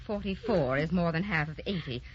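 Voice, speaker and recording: An actress playing a prim, envious middle-aged sister, blunt and sharp-tongued, in an old radio drama. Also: female voice, on the low side, lively intonation, fairly quick, close to an everyday voice. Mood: accusing, tart. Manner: tart, blunt, disapproving. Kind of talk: 1940s radio drama acting. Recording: dull vintage radio recording.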